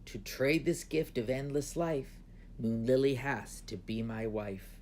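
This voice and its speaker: A man's voice: medium pitch, a little slow, darkish tone, close to an everyday voice. A man as a proud rhyming dragon, noisy recording. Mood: smitten, proud. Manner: speaks in rhyme, bellowing threats. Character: proud rhyming male dragon, smitten and vengeful.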